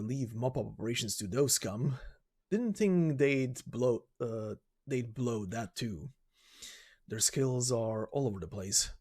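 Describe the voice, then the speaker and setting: Amateur actor as weary squad commander for game story: low, husky, grim and deliberate. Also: a little mellow. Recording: music under the voice.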